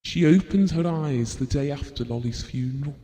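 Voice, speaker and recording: A man playing a gentle, grieving husband and bereaved father in an audio drama, speaking tenderly and reflectively. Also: medium pitch, moderate pace, close to an everyday voice. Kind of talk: intimate audio drama monologue. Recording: long reverberant hall sound.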